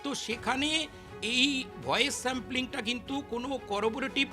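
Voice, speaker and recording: A man explains things as an expert in an interview. He drops English phrases into his speech, and music plays clearly behind him. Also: extremely high pitch, normal speed.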